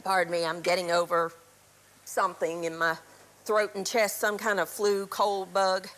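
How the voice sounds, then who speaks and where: A middle-aged woman, raspy from a cold, chattily walking viewers through a recipe on a live TV cooking show. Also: lowish voice, little pitch movement, moderate pace, fairly bright tone. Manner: chatty instructional, throat clearing. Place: TV studio kitchen.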